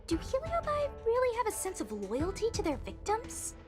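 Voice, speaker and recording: A woman's voice: extremely high pitch, wildly swooping pitch, moderate pace, heavily acted. A woman playing an inquisitive young companion in a game cutscene. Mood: curious. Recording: voice over game music.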